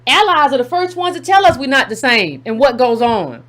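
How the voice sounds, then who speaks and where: Emphatic woman reading aloud, fairly high voice. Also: big pitch swings, moderate pace, a bit bright. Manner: emphatic, repeats key phrases for effect. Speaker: commentary channel host. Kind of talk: reading a text aloud with running commentary.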